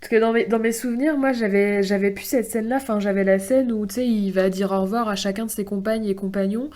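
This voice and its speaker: A woman's voice: medium pitch, steady tone, quick pace, darkish tone. Tentative woman in casual discussion. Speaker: podcast panelist.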